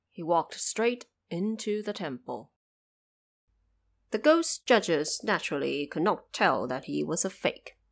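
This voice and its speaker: A woman's voice: mid-range voice, fairly bright tone. A woman narrating an audio drama in a scripted storytelling style.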